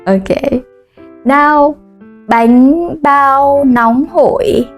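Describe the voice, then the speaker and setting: A woman with a Vietnamese accent teaches in a high voice at an unhurried pace. She models each phrase clearly for the listener to repeat. Faint music plays under her voice. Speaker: language teacher. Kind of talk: language lesson.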